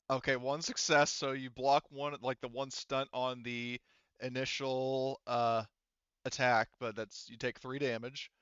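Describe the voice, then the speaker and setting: Bright, clear-voiced man running a tabletop game. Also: medium pitch, little pitch movement, slow pace, long pauses, no room sound, muffled recording. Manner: casual, profane. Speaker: game master. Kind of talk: running a tabletop game, casual rules talk.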